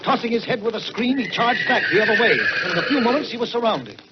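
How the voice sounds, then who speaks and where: An American man narrating in a dramatic 1940s radio style, with measured, theatrical delivery and drawn-out words. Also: very high voice, lively intonation, very noisy. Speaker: storyteller and radio host. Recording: dull vintage 1940s radio, music behind.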